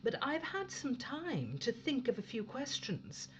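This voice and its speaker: A woman's voice: on the high side, huge pitch swings, a little slow. A woman acting a hard-nosed, suspicious spaceship captain in a science fiction audio drama, stern, sarcastic and probing. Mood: pointed, probing.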